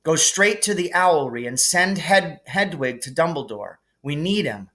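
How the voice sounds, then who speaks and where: A man's voice: fairly high pitch, lively intonation, measured pace, fairly bright tone, voice pushed up. A modest, brave schoolboy wizard from a children's storybook, as a man reads him aloud. He speaks plainly and earnestly, with an urgent, worried edge.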